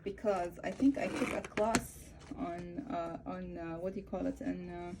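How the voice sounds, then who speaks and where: A woman in her thirties from Egypt, an Arabic speaker with an Egyptian accent, chatting through a craft tutorial at home and often pausing to search for words. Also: fairly low pitch, fairly even intonation, very noisy. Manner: hesitant, searching for words with many fillers. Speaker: craft vlogger. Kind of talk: casual tutorial chat.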